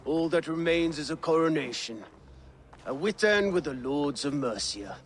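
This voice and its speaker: An actor playing a triumphant, scornful English lord taking power, declamatory and smug, in a video game cutscene. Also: male voice, fairly high pitch, animated, normal speed, a little hiss or hum, lightly acted.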